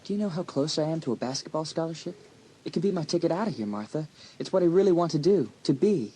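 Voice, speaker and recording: A man's voice: a little high, animated, fast talker, dark tone, lightly acted. An actor playing a confident, teasing, sporty teenage boy with a romantic streak in a dramatised audiobook. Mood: earnest, eager. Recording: audiobook tape with faint noise.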